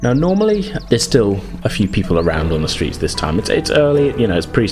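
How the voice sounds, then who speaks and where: Deep voiced guy game mastering, kinda hesitant and chatty, bit of music under him. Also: wildly swooping pitch, a little fast. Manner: conversational, improvised, hesitant hedging. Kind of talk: narrating a tabletop roleplaying game. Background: background music.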